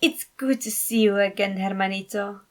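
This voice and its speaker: Woman playing a warm, teasing big sister. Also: medium pitch, moderate pace, natural voice. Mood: affectionate, teasing. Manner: affectionate, teasing. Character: warm, nosy, bossy adult sister and mother.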